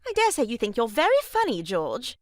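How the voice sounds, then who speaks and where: An actress playing a married sister-in-law in a stage farce, brisk, gushing and self-important, with a sharp tongue. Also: female voice, on the high side, huge pitch swings, no echo. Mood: gushing, huffy. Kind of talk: stage farce audio drama acting.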